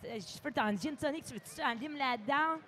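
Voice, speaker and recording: A woman's voice: high voice, fairly quick, a bit bright. A woman putting on a broad Québécois caricature. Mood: hamming it up. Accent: put-on Québécois. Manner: playful caricature, heavy local slang. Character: exaggerated Québécois woman, folksy and hearty.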